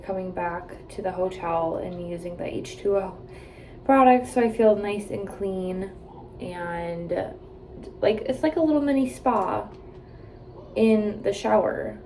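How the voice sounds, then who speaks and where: A woman's voice: medium pitch, darkish tone, slight background noise, low-quality recording. A woman in her late twenties chatting casually to camera from a hotel room, rambling with lots of "like" and "um". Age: 20s. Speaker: vlogger.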